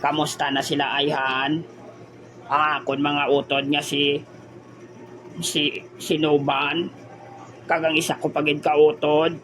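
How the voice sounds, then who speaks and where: A man's voice: on the high side, little pitch movement, takes it slowly, long pauses, a bit bright, slight background noise. A man in a comedic audio drama playing a muddled, homesick simpleton who halts, stutters and repeats words. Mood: wistful, muddled.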